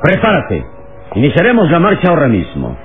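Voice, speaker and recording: An actor playing a noble, commanding hero in a solemn, declamatory radio drama style. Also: male voice, a little high, wildly swooping pitch, a little fast. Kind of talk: Mexican radionovela acting. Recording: tinny old radio recording with hiss.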